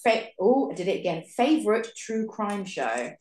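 A woman's voice: medium pitch, very animated, moderate pace, darkish tone. A woman with an English accent chatting casually as a podcast co-host, playful and self-deprecating. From Thatcham, Berkshire, England. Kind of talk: casual chat, fan Q&A. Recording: echoey room.

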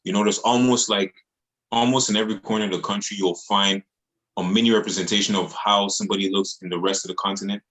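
Male music artist, very low voice, earnest slangy interview talk. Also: steady tone, fast, fairly bright tone, rough recording. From Montreal, Canada. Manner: earnest, repetitive phrasing with casual slang. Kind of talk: casual interview chat.

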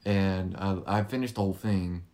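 A man's voice: low-pitched, fairly even intonation, moderate pace, a little mellow. A nineteen-year-old man co-hosting a podcast, joking and rambling in casual chat with his girlfriend. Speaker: podcast co-host.

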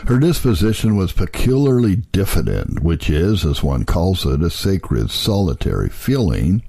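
A man with a husky voice gives a solemn, measured, earnest reading of an old religious text, in the manner of an audiobook narrator. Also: deep voice, sing-song, dark tone.

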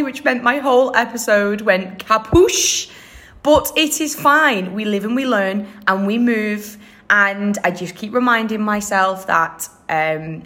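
A young woman hosting a casual solo podcast, chatty and upbeat, her voice slightly croaky. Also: mid-range voice, a bit bright. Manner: chatty, upbeat, many fillers and laughs. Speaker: podcast host. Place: room with air conditioner running behind.

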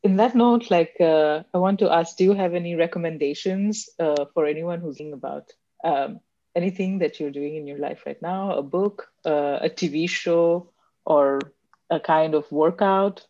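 A woman's voice: low voice, normal speed, mellow, dry recording. A woman hosting a podcast, chatting casually with many fillers and restarts and laughing easily. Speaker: podcast host. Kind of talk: casual interview chat. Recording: dull lo-fi audio.

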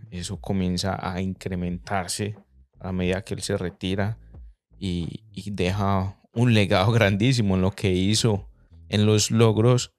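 A Colombian man with a Medellín Spanish accent recalls things in a thoughtful, meandering way, restarting his sentences often. His voice sits very low and the recording is dry and close. Faint music plays behind him. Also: no room sound. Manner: reflective, storytelling with frequent restarts. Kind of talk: casual chat.

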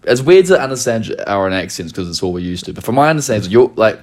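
A young man with a somewhat low voice and a noticeable New Zealand accent touched by Australian twang. He tells stories casually, like a podcast co-host, peppering them with swearing and jokes. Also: lively intonation, quick pace. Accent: New Zealand with a slight Australian twang. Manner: sweary, jokey storyteller. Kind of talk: casual chat.

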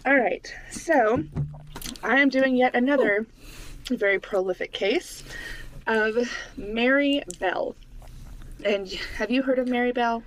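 A woman's voice: on the high side, slow pace, long pauses, low-quality recording. Playful woman podcast co-host. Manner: teasing, laughs often. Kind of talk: casual chat.

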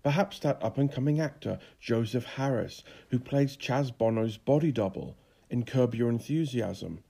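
A man's voice: medium pitch, moderate pace, gravelly, warm tone, breathy edge. A man voicing a flamboyant, self-pitying middle-aged playwright with a pompous, declamatory delivery, in an audiobook drama. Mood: wistful, self-dramatizing. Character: flamboyant, theatrical, grey-haired failed playwright, self-pitying and grandiose.

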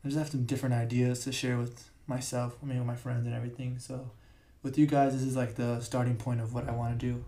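Raspy young man rambling on his podcast. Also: mid-range voice, little pitch movement, fast talker, few pauses, warm tone. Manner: rambling, filler-heavy, self-correcting. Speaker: podcast host. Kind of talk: casual solo podcast talk. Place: large echoey room. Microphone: podcast mic.

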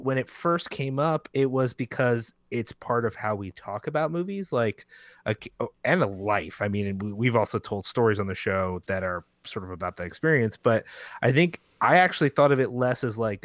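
A man's voice: medium pitch, animated, normal speed, fairly bright tone, no room sound, studio quality. A man talking casually in an interview as a podcast guest, informal and rambling, often correcting himself mid-sentence. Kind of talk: casual conversational interview talk.

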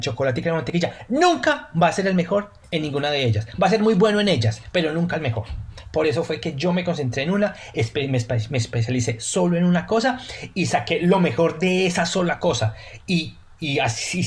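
A Colombian man talks as a guest on a podcast, in a voice pitched a little above the usual for a man. He speaks earnestly and keeps repeating words as he hunts for what to say next. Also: expressive, a little fast. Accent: Colombian Spanish. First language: Spanish. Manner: earnest, repeats words while searching for them. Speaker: guest, pastry chef. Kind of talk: casual podcast interview.

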